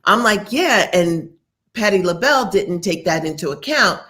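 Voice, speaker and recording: A woman, chatting candidly as a podcast co-host and acting out quotes. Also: moderate pace. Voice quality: deep voice. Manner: candid, animated storytelling with acted quotes. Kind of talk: casual opinion chat.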